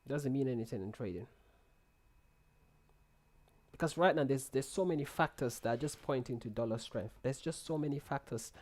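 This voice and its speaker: A young man talks casually through a headset on a live trading stream. He answers chat messages as they come in, often restarting and repeating words. His voice sits at a middle pitch for a man. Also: lively intonation, normal speed, pauses often, a little mellow. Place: small room indoors.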